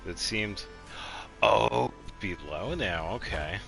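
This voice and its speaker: Deep-voiced man rambling through game commentary. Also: lively intonation, slow pace, vocal fry, fairly bright tone. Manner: rambling, thinking aloud. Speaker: streamer playing a video game. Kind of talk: casual gameplay commentary. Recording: game music faintly behind.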